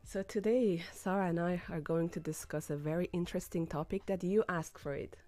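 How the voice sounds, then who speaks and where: A woman co-hosting a live radio talk show, her voice hoarse from a cold, speaking in a friendly, slightly halting way. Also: on the low side, fairly even intonation, mellow, dry recording, faint music behind. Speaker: radio co-host. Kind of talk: casual radio chat. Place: radio studio.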